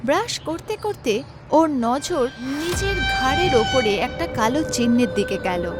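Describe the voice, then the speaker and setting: A woman narrating a scripted horror story as its storyteller. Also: high-pitched. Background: loud background music.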